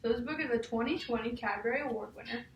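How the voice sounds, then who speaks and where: A woman's voice: on the high side, quick pace, lo-fi sound. A young woman reading a picture book aloud to a child, in an encouraging way with questions to check in. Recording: echoey room, distant mic, faint noise.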